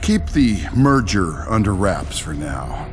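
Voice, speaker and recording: A man's voice: low-pitched, big pitch swings, full character voice. An actor playing a scheming, controlling businessman in a video game, speaking curtly and with command. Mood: scheming, firm. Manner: deliberate, curt, commanding. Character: controlling, scheming male businessman making a secret deal.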